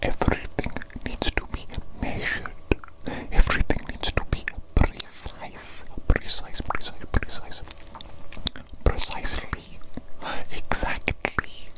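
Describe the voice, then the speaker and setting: A man voicing a gentle, fussily precise evil doctor in a soothing whispered ASMR roleplay, repeating his words and phrases. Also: slow, natural voice, rough recording. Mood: fussy, softly precise.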